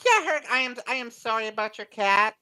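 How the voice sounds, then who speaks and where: A woman with a Southern Mississippi accent talks casually as a podcast co-host. Her voice sits a little high, and the sound is close and dry. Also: big pitch swings, brisk, bright, forceful tone, smooth, no echo. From Mississippi, United States. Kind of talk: casual chat.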